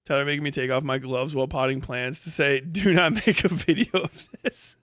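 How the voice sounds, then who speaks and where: A man's voice: mid-range voice, fairly even intonation, fairly quick, a bit bright, no room sound, clean studio sound. A man live streaming, chatting casually with slangy, profane, amused and irreverent reactions.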